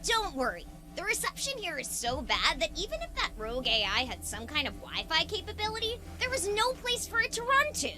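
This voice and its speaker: A woman's voice: very high voice, huge pitch swings, a little fast, hardly any pauses, music in the background, full character voice. A woman playing a chatty, reassuring young girl in a video game cutscene.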